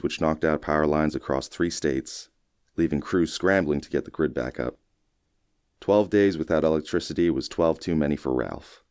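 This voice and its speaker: A man with an extremely low voice narrates a short story in the first person. His delivery is measured and folksy, like scripted storytelling for an audiobook. The recording is dry and close, with no room sound. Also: darkish tone, no echo.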